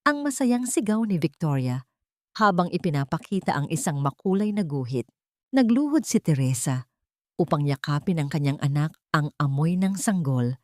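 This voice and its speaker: Low-voiced Filipino woman narrating a story. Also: warm tone, no echo, clean studio sound. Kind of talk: scripted dramatic story narration.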